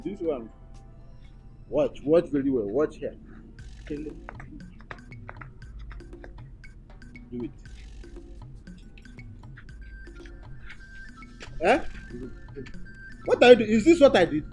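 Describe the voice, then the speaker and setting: A man's voice: a little high, sing-song, a little slow, long pauses, darkish tone, soft background music. A man with a Nigerian accent acting an impatient, strict dance teacher who scolds with exasperated questions in a comedy drama. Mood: stern. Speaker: actor.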